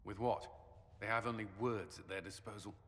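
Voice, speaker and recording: An actor playing a disciplined male military commander in a fantasy video game, firm and earnest in his measured delivery. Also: fairly low pitch, fairly even intonation, a bit bright, in a large hall. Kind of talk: video game dramatic dialogue.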